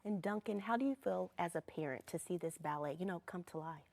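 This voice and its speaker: A woman's voice: lowish voice, brisk, creaky, darkish tone. A woman hosting a local TV morning show, interviewing guests with short affirming replies. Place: TV studio.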